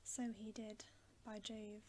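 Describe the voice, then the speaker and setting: A woman's voice: medium pitch, little pitch movement, takes it slowly, slight background noise, lightly acted. A woman voicing an earnest, loyal boy, an older brother, in a whispered bedtime story reading. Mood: puzzled, rueful. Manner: hushed, whispered.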